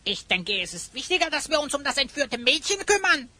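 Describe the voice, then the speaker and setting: Small cheeky sidekick, high, piercingly bright voice, inquisitive, pert, audio drama. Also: female voice, very animated, brisk, no echo, heavily acted. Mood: curious, pert. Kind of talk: German children's audio drama acting.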